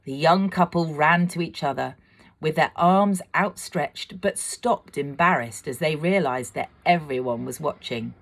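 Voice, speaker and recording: A woman reading a children's story aloud as an expressive narrator. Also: deep voice, measured pace. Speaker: amateur storybook reader.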